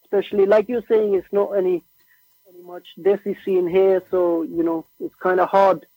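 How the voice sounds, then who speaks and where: A young man from Norway whose first language is Norwegian, chatting casually as a musician guest on a remote call, relaxed and slangy. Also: high-pitched, normal speed, dry recording. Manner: relaxed, slangy, says "bro" and "like" often. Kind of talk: casual interview chat. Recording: tinny phone-like remote line.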